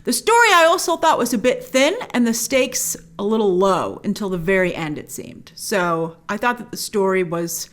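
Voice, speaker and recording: A woman's voice: mid-range voice, very animated, normal speed, few pauses. A woman hosting a review, giving opinions in an emphatic, informal, conversational way. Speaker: film and game review show host.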